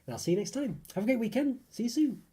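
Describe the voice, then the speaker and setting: A man's voice: very high-pitched, lively intonation, quick pace, warm tone, slightly rough. A man with a congested voice from a cold, reviewing snacks in a rambling, casual live stream full of fillers. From United Kingdom. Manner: rambling, hedging, many fillers. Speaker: YouTube food reviewer.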